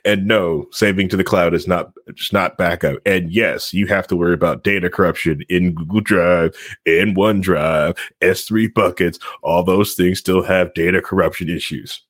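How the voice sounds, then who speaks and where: A man's voice: deep voice, fairly even intonation, normal speed, dry recording, clean studio sound. A man hosting a tech talk show in casual banter, rambling and jokey, often laughing.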